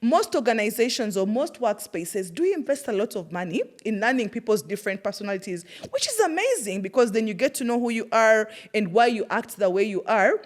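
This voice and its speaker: A woman's voice: fairly high pitch, brisk, hardly any pauses. A young Ugandan woman with a thick Ugandan English accent, hosting a personal reflective monologue and telling stories with laughter and plenty of fillers. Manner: animated storytelling with laughter and fillers. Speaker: host.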